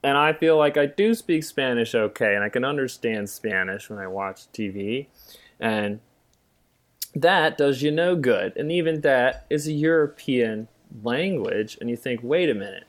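A man's voice: medium pitch, expressive, measured pace. A middle-aged man co-hosting a casual podcast discussion, rambling a bit with self-deprecating humor. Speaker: podcast co-host, music theory teacher.

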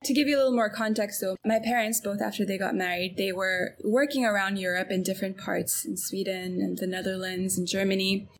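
A young woman from Belgium, a guest in a casual interview, chatting in a relaxed way with many fillers and frequent laughs. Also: mid-range voice, fairly quick, few pauses, slight background noise.

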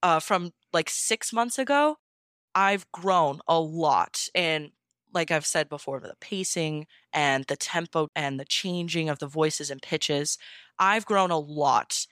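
A woman's voice: low-pitched, slow pace, a bit bright, clear, resonant tone, no echo, clean studio sound. A woman talking casually and enthusiastically as an interview guest, in an informal, encouraging way. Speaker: podfic narrator being interviewed.

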